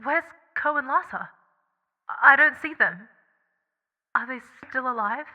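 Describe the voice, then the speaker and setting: Anxious young heroine, played by an actress: high voice, urgent yet hesitant, audio drama delivery, dull lo-fi sound. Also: female voice, animated, measured pace. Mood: tense, searching. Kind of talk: scripted audio drama acting.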